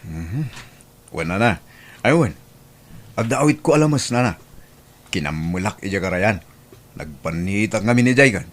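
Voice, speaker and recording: A man's voice: lowish voice, animated, moderate pace, pauses often. A man playing an eager young suitor in a comedy drama, with an Ilocano accent. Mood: polite, eager. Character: eager young male suitor courting his sweetheart.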